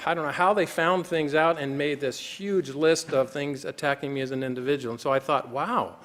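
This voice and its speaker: A man's voice: on the high side, moderate pace, fairly bright tone. Earnest man speaking in a reverberant hall. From United States. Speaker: conference speaker, physician. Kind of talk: formal conference talk. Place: conference hall.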